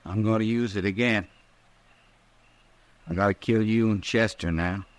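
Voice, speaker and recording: Male actor, distraught frontier gunman, American rustic drawl, lowish pitch, slow halting delivery, vintage radio drama. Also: fairly even intonation, no room sound, natural voice. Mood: menacing. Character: desperate, distraught Western outlaw gunman in love. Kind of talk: 1950s American radio western acting.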